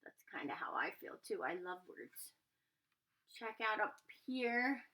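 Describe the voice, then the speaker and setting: A woman reading a children's storybook aloud expressively, pausing to put questions to her young listeners. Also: medium pitch, sing-song, pushed and bright. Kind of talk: animated children's read-aloud with asides to listeners.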